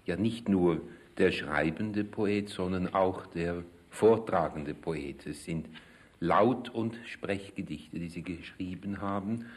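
Guy with a low voice doing a formal interview, measured prepared questions.

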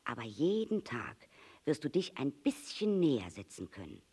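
A reader voicing an earnest, wistful storybook fox, very animated. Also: mid-range voice, normal speed, husky. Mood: patient, instructing. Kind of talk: storybook character reading.

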